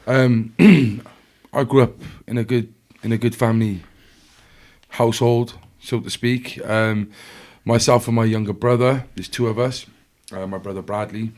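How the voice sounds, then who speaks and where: A young South Welsh man talks through his past as a podcast guest, easygoing and conversational. He rambles, stacks fillers and restarts his sentences, and his voice sits in an ordinary middle pitch. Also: steady tone, measured pace, darkish tone. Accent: South Wales. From Wales. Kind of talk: casual storytelling chat.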